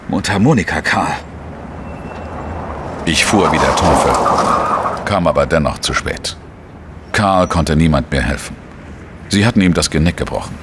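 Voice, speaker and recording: An actor playing a weary, irritable investigator who narrates a German crime radio drama in a blunt, sardonic way. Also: male voice, extremely low pitch, expressive, measured pace, long pauses, very noisy. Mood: grim narrating.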